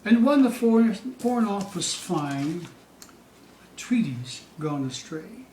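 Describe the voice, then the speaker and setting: A man giving a theatrical poetry recital, dramatic and suspenseful, with drawn-out phrases moving between hushed dips and loud peaks. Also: high voice, very animated. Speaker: poetry reader. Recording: distant, echoey room with faint hiss.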